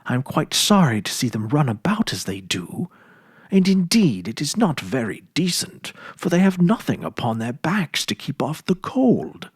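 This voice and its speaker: Man voicing a breathy, lilting kindly fairy-tale wife. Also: fairly high pitch, very animated, moderate pace, no echo, studio quality, pitched above the performer's own voice, exaggerated voice. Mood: earnest, grateful.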